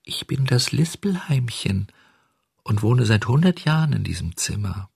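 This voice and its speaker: A man voicing a tiny, preachy hundred-year-old cricket in a storybook, with a thin, whispery lisp and a gentle, moralizing manner. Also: mid-range voice, big pitch swings, measured pace, creaky, slightly rough, no room sound, studio quality, voice pushed up, exaggerated voice. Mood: soft, delicate. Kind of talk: storybook audiobook character voice.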